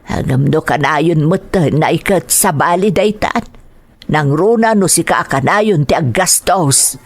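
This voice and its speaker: A woman's voice: sits low, lively intonation, a little slow, dry recording, full character voice. Woman doing naggy older mom in a radio play, scolding her grown son, close dry sound. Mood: reproachful. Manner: reproachful, lecturing. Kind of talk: dramatised audio drama acting.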